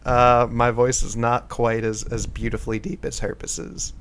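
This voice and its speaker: A man co-hosting a casual podcast, easygoing and quick to laugh. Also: medium pitch, level tone, a bit bright, slight background noise. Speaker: podcast co-host. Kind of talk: casual chat.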